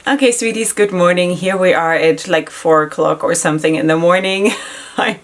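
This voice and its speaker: A middle-aged woman who speaks English as a second language chats casually through a makeup routine, giggling often and filling pauses with "you know" and "like". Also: fairly low pitch. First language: not English. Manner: chatty, giggly, many fillers. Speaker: beauty vlogger. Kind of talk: casual makeup tutorial chat. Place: home makeup setup in Israel.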